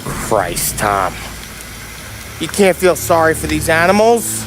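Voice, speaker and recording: An actor playing a brash, hot-tempered 1930s mobster with an American accent and slangy, streetwise talk in a crime game. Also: male voice, on the high side, very animated, takes it slowly, loud music behind the voice. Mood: insistent, bitter. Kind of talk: cinematic crime game dialogue.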